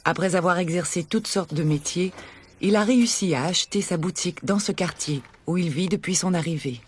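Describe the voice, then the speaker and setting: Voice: mid-range voice, fast talker, a little hiss or hum. A narrator reading scripted documentary voice-over.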